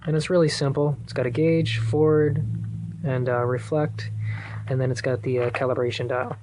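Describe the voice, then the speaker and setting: A man giving a casual, hands-on do-it-yourself tutorial in a halting, thinking-aloud way. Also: medium pitch, little pitch movement. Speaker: DIY car project vlogger. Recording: noisy recording with clicks.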